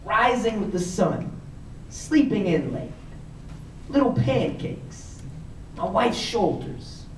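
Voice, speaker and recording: A man performing spoken word poetry to a live audience with theatrical, emphatic delivery and drawn-out phrases. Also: sits high, very animated. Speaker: poet performing on stage. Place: medium-sized indoor room with seated audience. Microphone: far camera in the audience. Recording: roomy, distant audience recording with faint noise.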